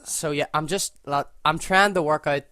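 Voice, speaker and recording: A man's voice: fairly high pitch, normal speed, clear, resonant tone, dry recording, clean studio sound. A man with a Northern Irish accent, talking casually through his choices as he sets up a football game, thinking aloud and often correcting himself mid-sentence. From Northern Ireland. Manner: relaxed, thinks aloud, self-correcting as he goes. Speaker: gaming video creator. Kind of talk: casual gameplay commentary.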